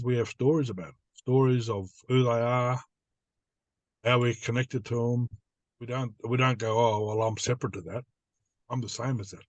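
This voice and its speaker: A man's voice: medium pitch, no echo. An older man from New South Wales with an Australian accent, speaking as an elder and teacher in plain, repetitive, simply built phrases. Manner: plain-spoken, repeats phrases in simple lists. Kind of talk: informal spoken teaching.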